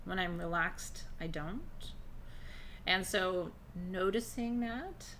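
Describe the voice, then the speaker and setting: A middle-aged woman with a naturally low voice teaching a relaxed, conversational class on spiritual practice, pacing her words deliberately. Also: a little hiss or hum. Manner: conversational, intentional pacing, laughs often. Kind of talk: live online class on shamanic drumming.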